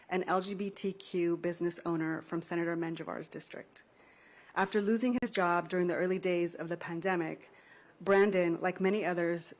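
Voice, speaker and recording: A woman with a somewhat low voice speaks as a company representative. She delivers prepared testimony in a measured, formal and scripted manner.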